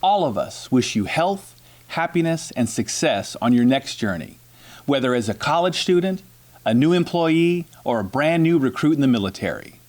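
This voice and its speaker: A man's voice: medium pitch, big pitch swings, moderate pace. A man serving as superintendent, giving graduates advice and closing wishes. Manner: advising, warm closing wishes. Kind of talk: graduation address.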